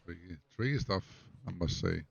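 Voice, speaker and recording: Deep-voiced man musing over moves. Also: moderate pace, creaky, slightly rough. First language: not English. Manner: analytical, muses over moves half to himself. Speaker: backgammon player commenting on his own match. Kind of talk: thinking-aloud match commentary. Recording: dull lo-fi recording.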